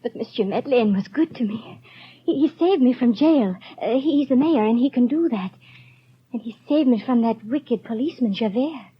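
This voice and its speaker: A woman's voice: on the high side, animated, moderate pace, slightly rough. A frail, whispering dying young mother. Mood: whispered longing. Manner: breathless, often whispering. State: weak from illness. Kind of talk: 1930s radio drama acting. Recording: dull lo-fi 1930s radio broadcast, faint hiss.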